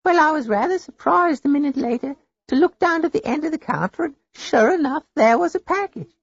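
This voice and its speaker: A man voicing a chatty female shopkeeper in a story reading, talking in a high, fluttery, gossipy way. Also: lively intonation, fairly quick, gravelly, no echo, heavily acted. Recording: dull lo-fi dry recording.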